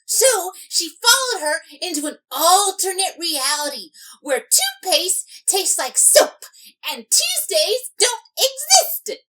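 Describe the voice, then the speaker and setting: A woman voicing an excitable, chatty young female pony in a cartoon fan dub, rambling eagerly and dramatically. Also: extremely high pitch, slow, exaggerated voice, low-quality recording. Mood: excited rambling.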